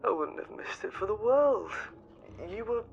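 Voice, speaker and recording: Young affectionate male soldier, gravelly edge, lo-fi phone filter with faint noise, audio drama. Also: high voice, expressive, normal speed. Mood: tender, proud. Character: affectionate young soldier boyfriend on a call, gentle. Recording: dull, noisy call line.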